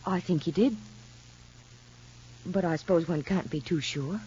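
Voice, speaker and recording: Worried young mother, husky voice, measured and hesitant, vintage radio drama, muffled recording. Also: fairly low pitch, mellow. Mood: anxious, confiding. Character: anxious young mother confiding in child psychologist. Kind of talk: 1950s radio soap opera acting.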